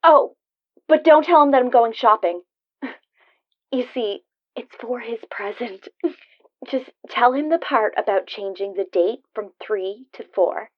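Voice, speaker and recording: A woman plays a breezy, well-mannered teenage girl in a 1940s-style radio comedy. Her voice sits fairly high and comes through a little dull and lo-fi. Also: unhurried, natural voice. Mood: sweet, breezy. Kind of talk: 1940s radio sitcom acting. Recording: dull lo-fi phone-call sound.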